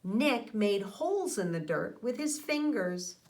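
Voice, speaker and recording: Slow, expressive woman teacher reading aloud. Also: mid-range voice. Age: middle-aged. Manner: expressive, addressing children directly. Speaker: elementary school teacher. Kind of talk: read-aloud lesson for young children. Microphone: microphone on a boom arm close to mouth.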